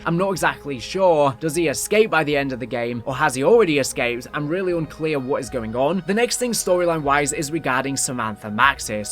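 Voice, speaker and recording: A man's voice: fairly high pitch, a bit bright. A man commentating on game storylines as a video creator, explaining and speculating as he goes. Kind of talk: gaming storyline recap commentary. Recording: voice-over with music behind. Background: music bed.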